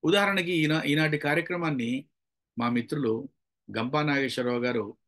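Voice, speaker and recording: A man's voice: on the high side, normal speed, a bit bright. A man with a Telugu Indian accent giving a motivational public speaking lesson, demonstrating with varied emphasis and mixing in English phrases. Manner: mixes English phrases into explanations.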